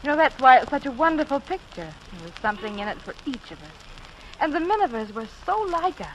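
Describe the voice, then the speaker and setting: An actress playing a gentle, sincere middle-aged mother in a 1940s radio family drama. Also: sits high, very animated, fast, low-quality recording. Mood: reassuring. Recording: hissy 1940s radio recording.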